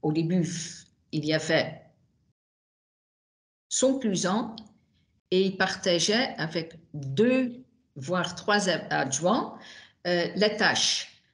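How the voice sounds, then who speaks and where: A woman whose first language is not French, giving testimony on a video call, halting with many restarts and fillers. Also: fairly low pitch, lively intonation. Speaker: witness, widow of a manager. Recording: echoey room on a video call.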